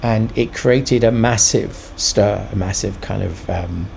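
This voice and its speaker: A middle-aged or older man giving a casual, reflective commentary as an artist, thoughtful and halting but enthusiastic. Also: on the low side, vocal fry, darkish tone, slight background noise. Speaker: urban sketching vlogger and artist.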